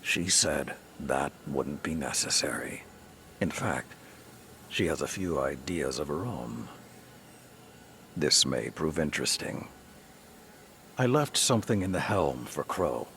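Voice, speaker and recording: An actor playing a grave, honor-bound old warrior and mentor in a video game, gravelly, solemn and measured. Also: male voice, rumbling low, animated, a little hiss or hum, heavily acted. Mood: solemn resolve. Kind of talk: dramatic video game cutscene acting. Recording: loud music under the voice. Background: music.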